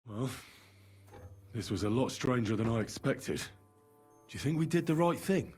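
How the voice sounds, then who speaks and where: Young medieval adventurer for a game: husky mid-range voice, calm, questioning, level-headed. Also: male voice, medium pitch, unhurried, soft background music. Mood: puzzled, curious. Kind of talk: video game voice acting, dramatic dialogue.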